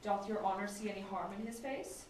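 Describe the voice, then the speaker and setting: A woman's voice: medium pitch, fairly quick, darkish tone. A woman student reading old comic stage dialogue aloud in a classroom, picked up away from the microphone. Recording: very echoey room, distant mic, faint noise.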